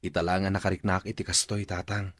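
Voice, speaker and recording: A man's voice: sits low, little pitch movement, quick pace, dry recording, studio quality. A man with an Ilocano accent playing a shy, smitten young fruit seller and respectful son in a radio drama. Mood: smitten, earnest.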